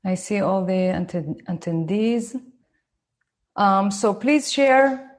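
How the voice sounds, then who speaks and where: A woman in her forties from Israel with an Israeli accent, speaking slowly and carefully as she gives a live online talk to parents. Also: medium pitch, expressive. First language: Hebrew. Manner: slow, deliberate, and careful for her listeners. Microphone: phone.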